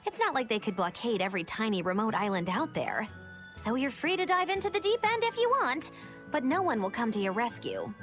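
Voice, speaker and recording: A sardonic, teasing woman with a high, very animated voice. She is flippant, in video game style, with faint music behind. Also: brisk.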